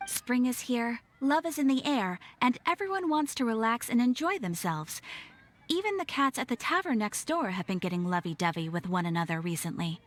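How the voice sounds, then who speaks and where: A woman playing a calm, polite female guild receptionist in a video game, courteous and formal. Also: on the high side, moderate pace. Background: game music.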